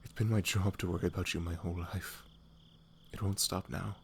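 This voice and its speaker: A man voicing a devoted, protective knight, soft, breathy and earnest, in a romantic ASMR fantasy roleplay. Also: sits low, fairly even intonation, a little fast, a little hiss or hum. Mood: tender, protective. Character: devoted young knight, protective, wary, tender lover.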